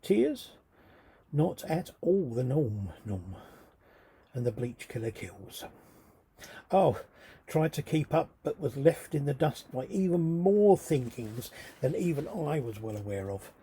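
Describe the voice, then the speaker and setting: Guy doing brooding spoken word, really husky raspy voice, mellow tone, dramatic. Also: mid-range voice, sing-song, slow. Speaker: writer reading own work. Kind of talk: theatrical spoken-word monologue reading.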